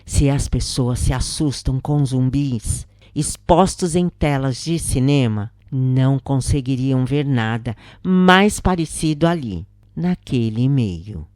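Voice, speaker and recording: A woman with a Brazilian Portuguese accent giving a solemn, dramatic first-person narration in an expressive, deliberate audiobook style. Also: extremely low pitch, no room sound, clean studio sound.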